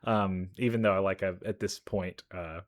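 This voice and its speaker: Man, lowish pitch, casual reaction commentary, enthusiastic, halting, thinking aloud. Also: fairly even intonation. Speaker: reaction video host, gamer.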